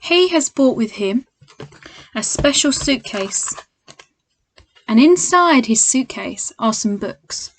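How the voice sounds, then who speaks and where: Higher-voiced woman reading to children. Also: slow pace, pauses often, a little hiss or hum, rough recording. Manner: expressive, addressing young children directly. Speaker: primary school teacher reading a story to pupils. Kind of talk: children's storybook read-aloud.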